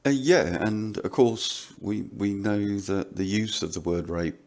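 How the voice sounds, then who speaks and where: A man discussing and commenting in a casual, halting way. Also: fairly low pitch, darkish tone. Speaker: panelist on a commentary show.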